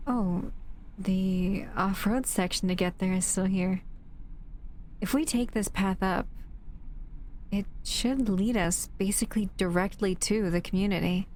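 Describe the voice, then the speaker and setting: An actress voices a female monster, an escaped captive who is protective and a little wistful. She talks slowly and conversationally at a middle pitch, as if to one close companion in an audio drama. A faint layer of ambient noise sits behind her. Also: darkish tone, lightly acted. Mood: hopeful, awed. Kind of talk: audio roleplay acting addressed to the listener.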